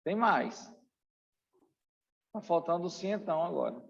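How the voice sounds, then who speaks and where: A man's voice: on the high side, animated, unhurried, vocal fry, fairly bright tone. A Brazilian man giving an exam-prep lecture as a teacher, in a didactic, emphatic way that repeats key points. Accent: Brazilian Portuguese. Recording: dull, muffled, long room reverb.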